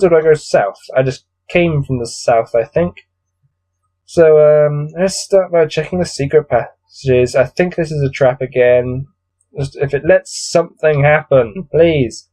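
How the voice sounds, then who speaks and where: A man with a mellow, relaxed tone pitched a little above average reads a gamebook aloud. He slips between narration and casual commentary, sounding bored and exasperated as he grumbles along. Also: moderate pace. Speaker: host reading a gamebook aloud.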